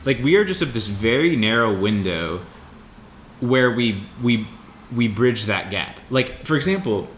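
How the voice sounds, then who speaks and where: A man in his mid-twenties in a casual, reflective podcast conversation, rambling and informal as he thinks out loud. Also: mid-range voice, lively intonation, unhurried. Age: mid 20s. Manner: rambling, informal with mild swearing. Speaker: podcast co-host. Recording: roomy echo with faint background noise.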